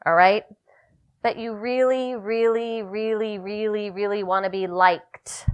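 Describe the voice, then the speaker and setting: A woman's voice: mid-range voice, fairly even intonation, measured pace, clean tone, dull audio. A woman leading a live workshop for loan officers, upbeat and coaching, calling on people in the room. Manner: upbeat, coaching, calls on participants. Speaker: workshop trainer.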